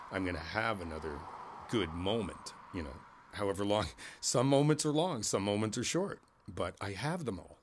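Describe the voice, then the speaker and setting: A man acts out an older neighbor with a low, deep voice in an intimate ASMR roleplay. He is kindly and world-weary, speaking as if in a private conversation. His delivery is reflective and halting, rambling gently as he offers comfort.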